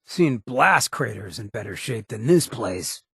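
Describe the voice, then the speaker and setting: Swaggering ghoul rogue, very husky rasp, lively swinging pitch, American accent, sardonic slang, video game companion. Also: male voice, a little slow, dry recording, clean studio sound, exaggerated voice. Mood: wry, curious. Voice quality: raspy, gravelly. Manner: streetwise, sardonic drawl with slang. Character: roguish, irreverent male ghoul mayor, a swaggering companion. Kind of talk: video game character dialogue.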